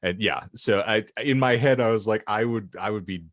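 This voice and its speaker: Low-voiced man with grandiose, wordy delivery. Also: normal speed, fairly bright tone, no room sound, clean studio sound. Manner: wordy, mock-grandiose phrasing. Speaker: podcast co-host. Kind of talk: casual comedic podcast chat.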